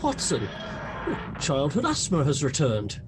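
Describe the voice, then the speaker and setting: Befuddled detective, male actor, radio comedy: dry, hesitant, very animated intonation. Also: fairly high pitch, measured pace, background noise. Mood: puzzled. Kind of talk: comic radio drama acting.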